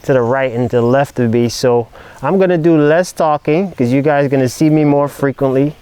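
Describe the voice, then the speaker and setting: A man's voice: mid-range voice, fairly quick, dark tone, smooth, dry recording. A man hosting a podcast, who prompts his guests in an easygoing way. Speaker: podcast host. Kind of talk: casual chat.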